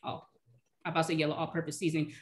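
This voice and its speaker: A woman in her thirties answering cooking questions in a relaxed, chatty way on a video call from her home kitchen. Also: deep voice, rapid-fire. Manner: relaxed, chatty, explains by eye. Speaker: cooking demonstrator, recipe blogger. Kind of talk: casual live Q&A. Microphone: video call, webcam.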